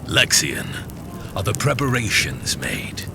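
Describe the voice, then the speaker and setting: Cold male warlord, low slow voice, commanding, scheming, audio drama, noisy background. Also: wildly swooping pitch, vocal fry, heavily acted. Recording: noticeable background noise.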